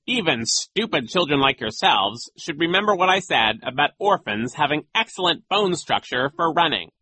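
A menacing storybook coach, sneers down at everyone in a strained high rasp. The pitch lurches wildly and the edge is sharp. He is impatient, condescending and commanding. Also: dry recording, exaggerated voice. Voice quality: scratchy. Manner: condescending, commanding, drawn-out words. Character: menacing, sneering, impatient male villain posing as gym coach.

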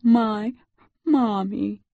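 Small boy, tearful and furious, high drawn-out cries, performed by a female audiobook narrator. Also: creaky, dry recording, phone-quality sound. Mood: gulping, tearful. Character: upset small boy screaming and sobbing in tantrum.

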